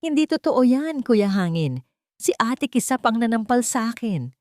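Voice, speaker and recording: A woman's voice: a little high, sing-song, normal speed, darkish tone, no room sound, studio quality, voice pushed up. A woman voicing an honest young sister in a story, shaken and protesting with a trembling voice.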